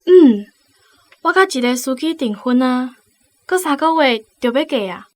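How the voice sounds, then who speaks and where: Very high-pitched affectionate young woman. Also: expressive, a little slow. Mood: coy. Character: affectionate young woman, a bus conductor reunited with a friend. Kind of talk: radio drama acting.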